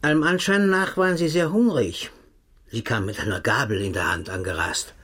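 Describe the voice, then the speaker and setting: An actor playing a cold, smug male secret-service agent in a radio play. He speaks calmly and mockingly, sometimes dropping to a hushed, sinister tone. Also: medium pitch, fairly quick, slightly rough. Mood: coldly mocking. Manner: calm, mocking, sinister, drops to hushed tones.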